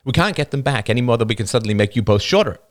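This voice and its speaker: A man voicing an earnest adult male counselor who reasons in quick, urgent bursts. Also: medium pitch, expressive, voice pushed up, natural voice.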